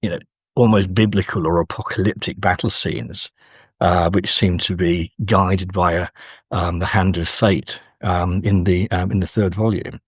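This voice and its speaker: Professor guy, low husky voice, thinking out loud in an interview, dry sound. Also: fairly even intonation, moderate pace, no echo. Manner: hesitant, considered, scholarly. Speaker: academic author, interview guest. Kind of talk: conversational scholarly interview.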